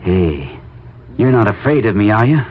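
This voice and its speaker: An actor playing an earnest, anxious, shell-shocked army captain in a 1970s radio drama, speaking hesitantly. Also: male voice, on the low side, sing-song, normal speed, a little hiss or hum. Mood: earnest, reassuring. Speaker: radio actor and first-person narrator. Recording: lo-fi phone-like radio broadcast, music behind.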